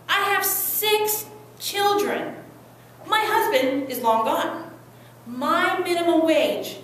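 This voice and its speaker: An anguished, resolute migrant mother played by a young woman tells her story as a staged dramatic monologue. Her voice is high and swings expressively, with slow, deliberate phrasing. It comes from a distance in a very echoey room. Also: a bit bright, full character voice. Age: young adult. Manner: earnest, emotive, deliberate phrasing with dramatic pauses. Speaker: student performer. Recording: very echoey room, distant mic, faint noise.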